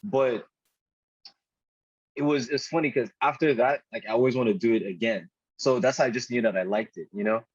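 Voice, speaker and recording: A young man from Nigeria, a podcast guest talking in a relaxed, chatty way, often saying "dude" and restarting his sentences. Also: mid-range voice, a little fast, breathy edge, no echo. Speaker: podcast guest, product designer. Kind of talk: casual conversational interview. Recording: dull, slightly muffled podcast audio.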